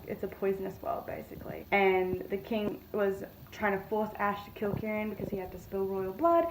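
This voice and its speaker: A woman giving a casual book reaction in gushing, slangy fan talk with sarcastic asides. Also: medium pitch. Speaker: book reviewer vlogger. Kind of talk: casual reaction commentary, retelling a novel. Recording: noisy, glitchy amateur phone audio.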